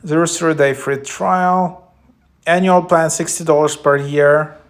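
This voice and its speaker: A man giving a casual, loosely improvised app walkthrough as a tech vlogger, with halting phrasing. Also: on the high side, steady tone. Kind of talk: casual app walkthrough, partly reading descriptions aloud.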